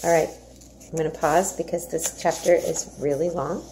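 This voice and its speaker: A woman reading a children's novel aloud as narrator, in an expressive storytelling manner. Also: deep voice, normal speed, rough recording. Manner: expressive, explains old words aside. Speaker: storybook reader, teacher-like narrator.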